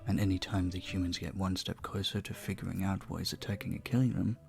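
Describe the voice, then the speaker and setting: A man playing a charming, polite vampire bartender in an intimate audio roleplay, soft-spoken and slightly formal. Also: deep voice, steady tone, fast talker, creaky, soft background music. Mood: grave. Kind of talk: audio roleplay, intimate one-sided dialogue.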